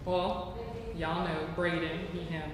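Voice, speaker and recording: A man's voice: fairly high pitch, level tone, takes it slowly. A man in his twenties chatting casually on a panel with youthful slang, recorded in an echoey hall. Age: 20s. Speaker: actor and ensemble member. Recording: echoey hall, distant mic, noisy.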